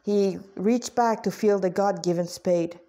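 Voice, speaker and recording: A woman's voice: medium pitch, unhurried, mellow. Woman reading their own story aloud, informally. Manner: stumbles over words and rereads phrases. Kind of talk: informal read-aloud narration with chatty asides.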